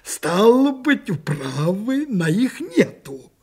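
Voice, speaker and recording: A man voicing a drunk, hot-headed, aggrieved working-class husband in a story, slow and folksy. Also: sits high, big pitch swings, darkish tone, slightly rough, pitched above the performer's own voice, heavily acted.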